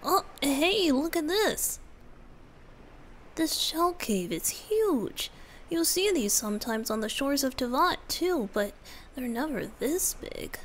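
A woman voicing a youthful male wind-spirit bard, soft, gentle and teasing, often breathy, in a romantic ASMR roleplay. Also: high-pitched, sing-song, slow pace, heavily acted. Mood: relaxed, affectionate.

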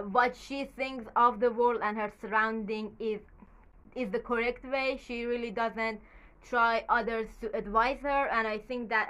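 Bright-voiced young woman rambling casually. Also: a little high, clean tone, low-quality recording. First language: Farsi. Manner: rambling, chatty, with many fillers and restarts. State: a little nervous. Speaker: YouTuber. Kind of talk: casual movie commentary. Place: small bedroom, indoors.

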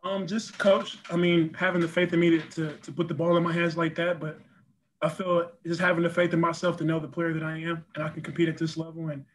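An earnest young man answers post-game questions over a video call from a room in front of a press backdrop. His voice sits a little high and stays flat, and he leans on "you know" and repeated words. A faint hiss sits under the call. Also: brisk. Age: young adult. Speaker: college basketball player.

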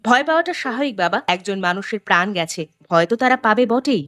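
A brave, sensible young daughter from a village, played by a woman in a horror cartoon. Her voice is fairly high and close and dry, and she speaks quickly and firmly. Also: lively intonation, a bit bright, no echo. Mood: calm, reasonable. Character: fearless, level-headed young village daughter. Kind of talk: animated horror story acting.

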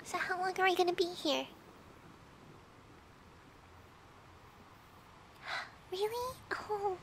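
A woman playing a bratty but affectionate, easily flustered young girlfriend in an intimate ASMR roleplay, pouty and teasing, often dropping to breathy murmurs. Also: very high-pitched, animated, moderate pace, a bit bright, slight background noise, full character voice. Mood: bashful.

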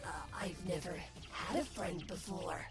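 A woman's voice: fairly low pitch, animated, unhurried, vocal fry, over loud music. A woman voicing a creepy but lonely, sensitive doll character in a hesitant, plaintive cartoon voice. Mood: wistful.

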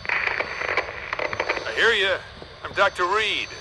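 An actor playing an anxious, eager government doctor speaking over a radio in a video game, halting and urgent. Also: male voice, high-pitched, animated, very noisy, exaggerated voice. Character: anxious, eager male government doctor seeking immune survivors. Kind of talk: video game cutscene acting.

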